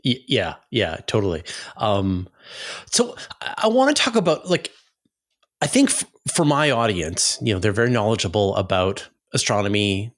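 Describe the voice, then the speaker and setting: A man with a fairly low voice speaks as an interview host. His delivery is conversational, and he feels his way through each thought with hesitant, searching phrasing. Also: very animated, normal speed.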